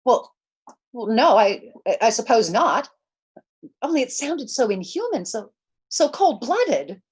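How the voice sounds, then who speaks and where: An actress playing a nervous, demanding invalid wife in 1940s radio suspense style, with a fretful, insistent, stammering delivery. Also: female voice, on the high side, big pitch swings, slow pace, slightly rough, heavily acted. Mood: uneasy, pleading.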